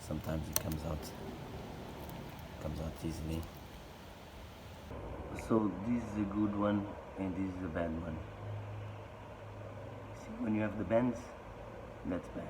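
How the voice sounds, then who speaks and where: Croaky low-voiced man explaining a repair. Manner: halting, plain-spoken explanations with restarts. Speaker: boat owner showing a repair. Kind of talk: casual how-to demonstration. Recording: loud background noise.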